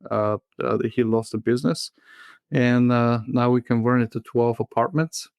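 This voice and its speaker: A man in his thirties from Bulgaria with a Bulgarian accent, a guest in a casual interview who talks in a halting way, full of fillers and restarts. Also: medium pitch, fairly even intonation, darkish tone, smooth, no room sound, clean studio sound. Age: 30s.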